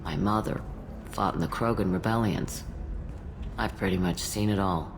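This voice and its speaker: An actress playing a blunt, world-weary old alien matriarch bartender in a video game, with dry, sardonic delivery. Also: female voice, extremely low pitch, moderate pace, vocal fry, noisy background. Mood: wry, blunt. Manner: dry, sardonic, matter-of-fact. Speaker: bartender character. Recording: loud music behind the voice.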